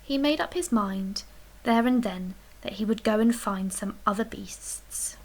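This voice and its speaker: A woman reading a children's picture book aloud as a storyteller, expressively, with dramatic emphasis and hushed, breathy dips. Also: medium pitch, unhurried. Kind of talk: expressive read-aloud bedtime story narration.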